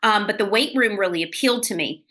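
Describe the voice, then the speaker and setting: A woman's voice: mid-range voice, pushed and bright. A woman in her fifties, a fitness expert guest, chatting effusively in a casual interview and laughing easily. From Louisiana. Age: 50s. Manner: bubbly and effusive, quick to laugh.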